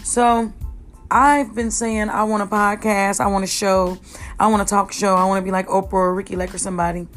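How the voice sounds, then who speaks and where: A woman with a raspy voice hosting her own podcast from home, rambling casually and full of slang. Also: mid-range voice. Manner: slangy, chatty, addresses listeners as y'all. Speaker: podcast host.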